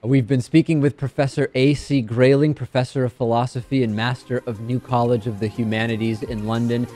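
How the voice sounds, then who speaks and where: A man's voice: medium pitch, level tone, a little fast, warm tone, faint music behind. A man born in Argentina whose first language is Spanish, hosting a talk show and asking direct, conversational questions. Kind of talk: interviewing and reading listener questions.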